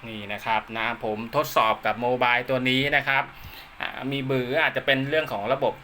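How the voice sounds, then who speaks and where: A man's voice: medium pitch, brisk, bright, forceful tone, a little hiss or hum. A man giving a casual hands-on demonstration as a radio hobbyist, recorded indoors at home. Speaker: amateur radio hobbyist presenting his own video.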